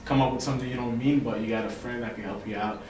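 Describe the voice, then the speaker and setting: A man's voice: mid-range voice, fast. Chatty young man from Haiti, echoey room. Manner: relaxed, chatty, many fillers and "you know". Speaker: poet and writer, guest. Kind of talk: casual interview chat. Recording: echoey room, mic far off, noisy.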